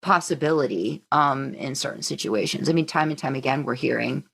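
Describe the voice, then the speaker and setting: A woman's voice: a bass voice, fairly quick, gravelly, fairly bright tone, breathy edge. A woman in casual podcast conversation, explaining things in a tentative, circling way. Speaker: podcast participant. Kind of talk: casual chat.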